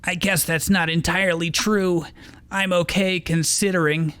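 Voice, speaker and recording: A man's voice: sits high, fairly even intonation, moderate pace, fairly bright tone, smooth, pitched above the performer's own voice. A man voicing a brooding, bitter adult monster trainer in a dramatic fan fiction reading, anguished and self-questioning. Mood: evasive, weary.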